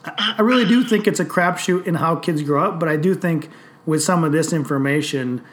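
A man in his late thirties talking casually on a podcast, animated and swearing freely as he tells stories. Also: a little high, fairly quick. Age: 30s. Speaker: podcast host.

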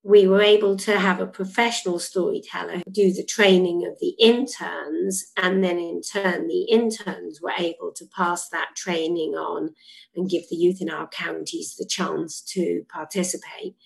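Woman, program leader, gravelly tone, earnest measured explaining. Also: on the low side, fairly even intonation, poor audio. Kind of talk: explanatory interview answer.

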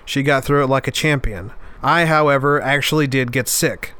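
A man's voice: mid-range voice, moderate pace, sharp tone, smooth. A male podcast host speaking with a nasal, stuffed-up voice in an earnest, heartfelt, reflective monologue. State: stuffed up after an illness.

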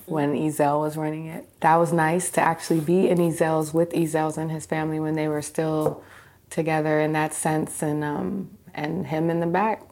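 A woman from Seattle reminiscing slowly and fondly about neighborhood food spots, her voice often trailing off softly. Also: low voice. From Seattle, USA. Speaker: community interviewee. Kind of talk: reminiscing interview.

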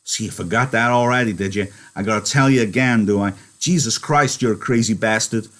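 A man voicing a small, angry ranch hand from a novel, scolding in rough rural slang. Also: fairly low pitch, fairly quick, a bit bright, lightly acted. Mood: exasperated scolding. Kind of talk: dramatised novel reading.

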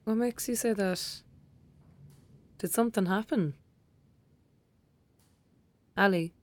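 A woman's voice: mid-range voice, slow pace, close to an everyday voice. An actress playing a protective young girl, a caring best friend, in an audio drama, with an Irish accent and a coaxing, soothing manner. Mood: concerned, pleading.

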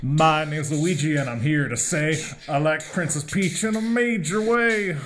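A man's voice: fairly high pitch, a little slow, a bit bright. A man playing a polite, educated, slightly prim and earnest sidekick in a comic audio play. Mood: awkward rap.

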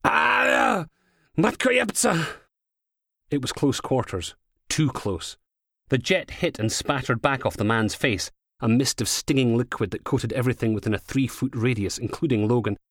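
A man's voice: mid-range voice, normal speed, no echo, clean studio sound. A Scottish man reading a scripted crime novel as its narrator. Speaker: author reading own audiobook.

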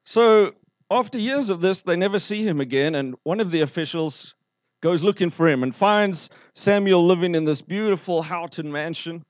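Male pastor, slightly high voice, storytelling sermon, muffled, dry recording. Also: moderate pace, no echo. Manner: storytelling preacher, asks the congregation questions.

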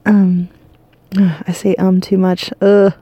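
A woman hosting a bedtime podcast from her bed, exhausted and losing her voice, talking softly and drowsily in a rambling half-whisper. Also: medium pitch, flat delivery, drawn-out words, slightly rough, dry recording. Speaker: podcast host. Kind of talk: sleepy bedtime monologue. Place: lying in bed at home.

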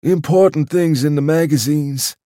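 A man's voice: fairly high pitch, moderate pace, mellow, no room sound, studio quality, pitched above the performer's own voice, lightly acted. A man voicing a sweet, doddering old male club waiter, mournful and respectful.

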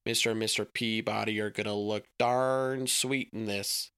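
A man's voice: fairly low pitch, steady tone, normal speed, fairly bright tone, smooth, dry recording, studio quality. A man voicing a folksy, overly sweet small-framed father who talks in homespun phrases. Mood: cheery folksy.

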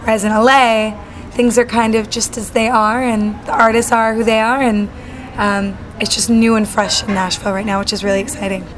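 A woman's voice: on the high side, flat delivery, fairly quick, a little hiss or hum, poor audio. A young woman singer-songwriter from Salt Lake City chatting casually in an interview, bubbly and full of fillers. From Salt Lake City, Utah.

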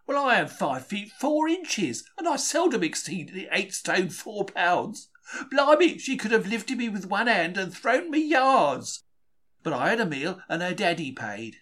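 A British man with a fairly high voice reads a diary in the first person. His pitch swings in a very lively way, and his telling is wry and colloquial, full of old-fashioned slang. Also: unhurried. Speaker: reader of a diary for a podcast. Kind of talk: scripted first-person narration, dramatised diary reading.

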